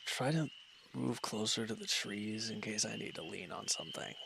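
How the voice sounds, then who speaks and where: A man playing an injured, weary villain in a roleplay audio drama, guarded and quietly considerate, speaking in halting, hesitant lines. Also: vocal fry, noisy background. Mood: weary, reluctant. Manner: hesitant, halting, low-key, grudging. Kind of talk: roleplay audio drama, intimate one-sided dialogue.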